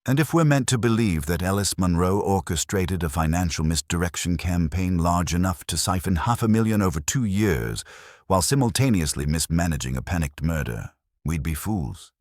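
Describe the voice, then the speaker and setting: An exacting, cerebral male detective, as voiced by a male narrator with an extremely low voice. He pronounces his lines formally and with disdain, in a dry, close audiobook sound. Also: animated, no room sound. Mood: grave, disdainful. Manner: formal, pronouncing, measured phrasing. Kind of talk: audiobook character dialogue.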